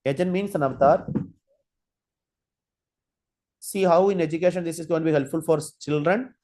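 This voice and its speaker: A man giving an online training lecture, halting, with many fillers and restarts while he demonstrates. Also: fairly high pitch, darkish tone. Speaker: guest lecturer.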